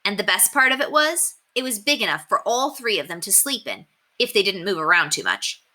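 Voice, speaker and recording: A woman reading a children's novel aloud in an animated, emphatic storytelling manner. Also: medium pitch, brisk. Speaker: story reader.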